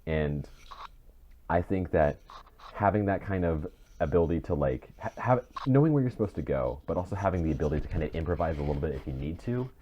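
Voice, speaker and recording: A man's voice: sits low, animated, fast, mellow. A man co-hosting a podcast in casual chat, rambling and often rephrasing himself as he goes. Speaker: podcast co-host and audio drama creator. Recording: dull, muffled mic with clear noise.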